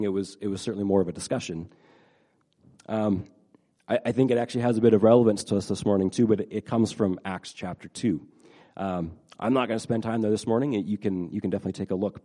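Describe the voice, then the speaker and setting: A middle-aged man preaches to his congregation in a relaxed, conversational way, his voice slightly nasal from a head cold. His tone is mellow and a bit low. He stumbles and repeats words, filling gaps with plenty of ums. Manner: halting, repeats words, many fillers. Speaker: pastor. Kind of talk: casual sermon to a congregation.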